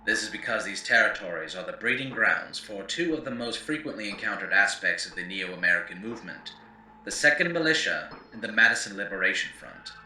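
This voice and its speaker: An actor playing a grave, eloquent chronicler of a ruined world, narrating lore in formal, theatrical oratory for an audio drama. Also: male voice, fairly low pitch, animated, a little fast, bright, forceful tone, reverberant, recorded from a distance, a little hiss or hum, poor audio. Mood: grave, dramatic. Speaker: narrator and host. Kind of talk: scripted in-character lore narration for an audio series.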